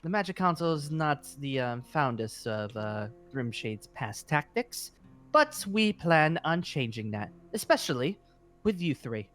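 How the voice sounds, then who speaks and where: A man playing a halting, animated guild leader. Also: fairly high pitch. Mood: earnest, hopeful. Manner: hesitant, rambling, halting. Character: eager, apologetic fantasy guild leader welcoming recruits. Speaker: roleplay performer. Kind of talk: improvised Minecraft roleplay dialogue. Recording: music under the voice.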